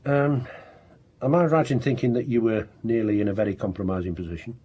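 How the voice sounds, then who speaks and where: An actor playing a loyal, down-to-earth police officer in a naturalistic radio drama. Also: male voice, fairly low pitch, a little fast, husky, dull audio. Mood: calm, supportive.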